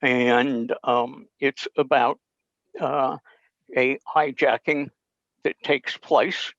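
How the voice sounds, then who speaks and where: A man presenting a session on old radio in an informal, halting, unscripted way over a video call. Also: medium pitch, steady tone, a bit bright, no echo. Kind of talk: informal explanatory talk. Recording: dull video-call audio.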